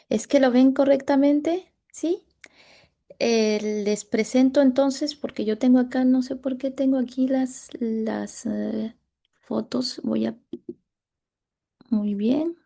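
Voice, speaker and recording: Gravelly Latin American woman presenting a case. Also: a little high, dark tone. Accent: Latin American Spanish. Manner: halting, pauses to search for words. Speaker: dermatologist presenting a clinical case.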